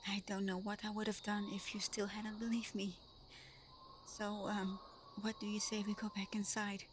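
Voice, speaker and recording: A woman playing Santa's gentle, bashful adopted daughter in an ASMR roleplay, soft-spoken, hesitant and apologetic. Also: medium pitch, flat delivery, normal speed, a little hiss or hum, natural voice. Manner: soft-spoken, hesitant, breaking into little giggles.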